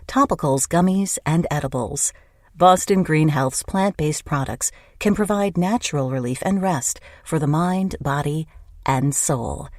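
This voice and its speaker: A woman's voice: deep voice, a little mellow, no echo, studio quality. A woman reading a scripted podcast sponsor advertisement. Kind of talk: scripted ad read.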